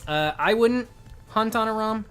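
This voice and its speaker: A gaming livestream late into the session: a guy with a slightly high voice, going a bit hoarse, talks opinions through with his chat over faint music. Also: unhurried, fairly bright tone. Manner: opinionated, explanatory, informal. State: losing his voice. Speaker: live streamer, host.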